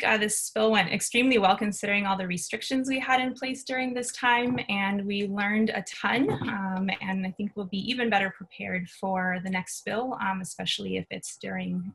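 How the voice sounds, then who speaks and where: A woman's voice: mid-range voice, normal speed, gravelly, fairly bright tone, rough recording. A woman giving a spill response summary on a video call, with many fillers. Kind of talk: presentation over video call.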